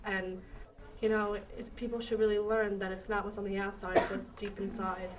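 A woman's voice: medium pitch, level tone, normal speed, distant mic, heavy background noise. A teenage girl speaking casually in an interview, rambling with many fillers, in a school library. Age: teens. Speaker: student in program.

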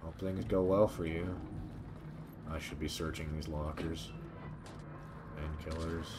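Low-voiced man casually streaming a game. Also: little pitch movement, measured pace, noisy background. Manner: profane, muttering asides to himself. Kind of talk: casual gameplay commentary. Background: video game sound.

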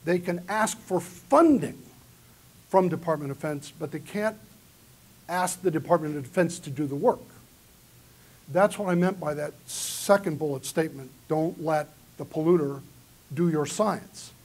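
Husky man giving an emphatic keynote. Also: on the high side, normal speed, darkish tone. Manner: earnest, emphatic lecturing. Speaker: keynote speaker. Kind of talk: conference keynote with audience questions. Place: large conference hall with round tables. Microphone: far camera in the audience.

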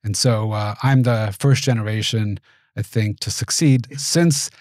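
A man in his forties, raised in the United States, giving thoughtful, measured interview answers in a small studio. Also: fairly low pitch, steady tone, a little mellow, no echo. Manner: measured, thoughtful, with frequent restarts. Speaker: guest, venture capitalist. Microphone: studio mic on boom arm.

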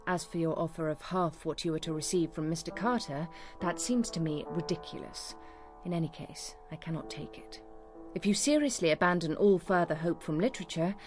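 Woman acting a cool, lowish-voiced English wife. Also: darkish tone, close to an everyday voice. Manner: formal, firm, cool. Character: proud, cool, estranged young English wife. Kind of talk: period radio drama acting.

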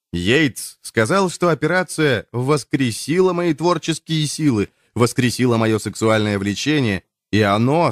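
A male audiobook narrator with a mid-pitched voice reads nonfiction in measured phrases. His intonation moves widely and keeps the reading expressive. The sound is dry and close to the microphone. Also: no room sound.

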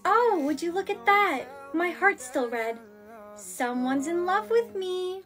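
A woman plays a high-voiced teenage girl in a short melodrama skit. She comes across as earnest and emotional, easily hurt, with music faintly behind her. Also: expressive, slow pace, fairly bright tone, heavily acted. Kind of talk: acted short melodrama skits.

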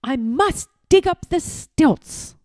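A woman's voice: sits high, lively intonation, slow, a little mellow, no echo, clean studio sound, pitched above the performer's own voice. A woman voicing a brave young boy hero in a storybook, exclaiming urgently and defiantly.

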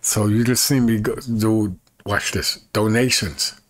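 A man in his fifties with a husky voice, chatting casually to camera in a folksy way and repeating himself as he demonstrates. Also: fairly low pitch, steady tone, unhurried, no room sound. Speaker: evangelist and video creator. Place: small bedroom, indoors.

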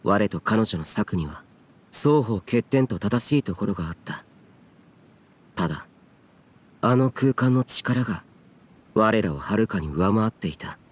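An actor playing a stern, reserved guardian warrior in a game cutscene, speaking formally in a measured, restrained and archaic way. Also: male voice, on the low side, animated, husky. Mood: grave, reflective. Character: stern, stoic yaksha guardian, reserved and grave. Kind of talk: dramatic game cutscene dialogue.

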